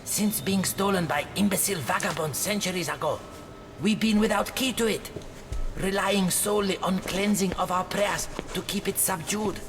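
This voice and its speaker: High-voiced dying Tibetan monk. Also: male voice, a bit bright, faint music behind. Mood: grave, embittered. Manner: theatrical, halting, stilted broken English. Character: dying Tibetan monk, rambling, righteous and embittered. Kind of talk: dramatic video game cutscene acting.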